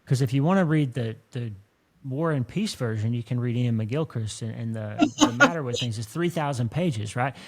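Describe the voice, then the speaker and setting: A man hosting a podcast in a conversational, earnest, effusive and folksy way, with a creaky voice. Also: medium pitch, sing-song, moderate pace, noisy background. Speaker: podcast host. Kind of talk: conversational interview and podcast talk.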